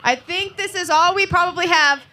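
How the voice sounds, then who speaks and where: A woman hosting trackside at a live event, briskly and upbeatly asking people their names. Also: very high-pitched, sharp tone. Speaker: trackside host. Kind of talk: crowd interviews on the track.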